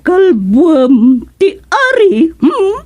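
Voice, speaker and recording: A bossy, plotting aunt from a radio comedy, with a Filipino accent. Her voice is extremely high and swoops widely. She drags her words out very slowly between cackles. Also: sing-song, darkish tone, dry recording, exaggerated voice. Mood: sly, commanding. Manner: drawn-out, cackling, bossy delivery. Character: scheming, cackling aunt who orders her nephew about.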